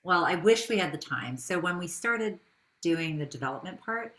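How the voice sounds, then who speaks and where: A woman's voice: lowish voice, animated, moderate pace, fairly bright tone, low-quality recording. A woman speaking as a business founder in an interview, telling her story in a conversational way with rhetorical questions.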